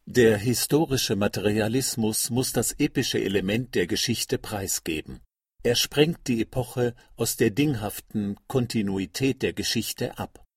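A man giving a scripted literary reading of quotations in a measured, declarative manner. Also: on the low side, breathy edge, no echo, clean studio sound. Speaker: reader of quotations. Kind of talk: literary reading of quoted texts.

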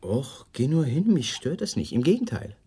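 An actor playing a wily, patronising police lieutenant in a radio crime drama, probing with false friendliness. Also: male voice, medium pitch, big pitch swings, normal speed, mellow, slightly rough, heavily acted. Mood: slyly casual.

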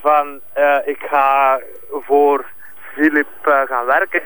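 A man's voice: a little high, little pitch movement, fairly bright tone, clear, resonant tone, low-quality recording. Insinuating Flemish police questioner played by a man. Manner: halting, insinuating. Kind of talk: crime drama interrogation.

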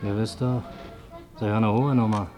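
An actor playing a brash, cheeky small-time crook fresh from prison, with a Berlin accent, in a 1950s German radio drama. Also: male voice, on the low side, fairly even intonation, normal speed, darkish tone, soft background music, close to an everyday voice. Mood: cocky. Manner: brash, jokey, slangy. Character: cocky scheming male ex-convict, cheeky small-time crook.

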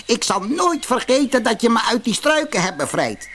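A man voicing a grateful, eager raven in a storybook, with a cawing, croaky voice. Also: very high voice, very animated, normal speed, a bit bright, slight background noise, pitched above the performer's own voice, full character voice.

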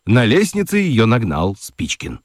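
A man's voice: on the low side, huge pitch swings, unhurried, no echo, clean studio sound. A man narrating a children's story as a scripted radio narrator. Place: dry studio.

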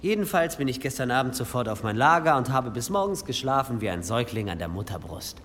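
A man's voice: mid-range voice, sing-song, quick pace, fairly bright tone, long reverb tail, a little hiss or hum. A man playing a polite, deferential, pious pilgrim in a radio drama, speaking formally with humble eagerness. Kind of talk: German radio drama acting.

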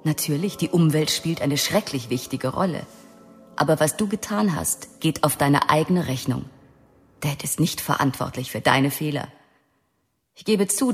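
A woman's voice: low voice, long reverb tail. An actress playing a stern older sister who earnestly lectures her brother in a letter, in a radio drama.

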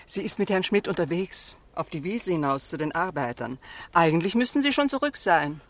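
An actress plays an elderly pastor's wife in a radio drama. Her pitch swings about in a very lively way. She is homely and fussy, scolding with good nature. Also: moderate pace, lightly acted. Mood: welcoming, chatty. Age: older. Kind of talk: German literary radio drama dialogue.

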